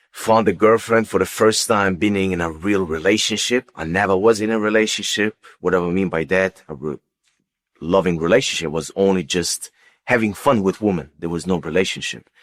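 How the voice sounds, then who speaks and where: Very deep-voiced man giving testimony. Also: fairly bright tone. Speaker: guest giving a personal testimony. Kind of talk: unscripted personal testimony.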